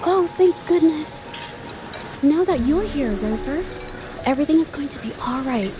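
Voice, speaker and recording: A woman's voice: high voice, lively intonation, normal speed. A woman voicing an anxious, earnest young girl in an anime-style video game. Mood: relieved. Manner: earnest, hesitant. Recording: background music under the voice.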